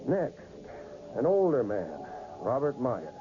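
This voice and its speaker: An actor playing a tough, skeptical police detective who questions curtly and bullyingly, in a 1980s radio drama style. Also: male voice, mid-range voice, wildly swooping pitch, takes it slowly. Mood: hard, accusing. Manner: curt, bullying interrogation. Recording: dull, noisy old radio broadcast recording.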